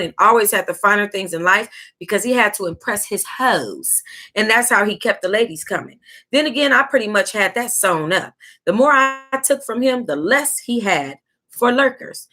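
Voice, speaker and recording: An American woman hosting a book club, reading a tell-all aloud in a casual, sassy way with reactive asides. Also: mid-range voice. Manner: sassy, animated reactions, breaking into laughter and asides.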